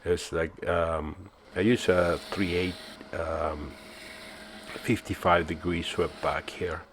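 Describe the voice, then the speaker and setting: A man from Argentina, narrating his woodturning work in a relaxed, self-mocking voice-over with many fillers. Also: sits low, slow pace, background noise. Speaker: woodturning channel host.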